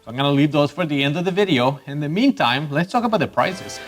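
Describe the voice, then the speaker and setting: A man's voice: fairly high pitch, fast, music in the background. Upbeat Costa Rican man hosting a vlog. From Costa Rica. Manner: upbeat, chatty, with bursts of excitement. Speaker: photography channel host. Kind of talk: casual YouTube presenting.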